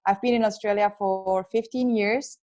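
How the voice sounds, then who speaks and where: A woman in her thirties with a strong Swiss accent, a guest telling her story openly in a podcast interview, quick bursts with restarts. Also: medium pitch, steady tone, mellow. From Switzerland. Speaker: guest, entrepreneur and author.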